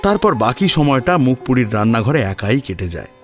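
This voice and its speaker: A man's voice: mid-range voice, very animated, brisk. A man narrating a scripted story expressively, as the narrator of an audio drama. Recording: music under the voice.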